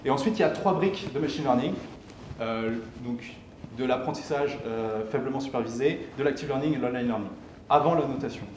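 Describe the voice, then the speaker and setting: A young man speaks at a tech meetup in a mid-range voice. He explains step by step and haltingly, often putting a question and then answering it. The room is clearly echoey, with audible noise behind him. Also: medium pitch, darkish tone. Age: young adult. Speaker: tech meetup speaker presenting his company's software. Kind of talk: technical conference presentation. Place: indoor lecture room, at a lectern.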